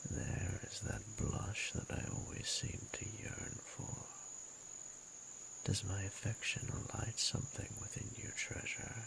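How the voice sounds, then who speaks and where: Guy doing an ancient dragon lord for romance roleplay, really low n gravelly, breathy, courtly teasing, faint crickets behind. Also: little pitch movement, a little slow, fairly bright tone, a little hiss or hum, full character voice, low-quality recording. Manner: formal, archaic, teasing and courtly. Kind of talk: romantic audio roleplay, intimate slow monologue.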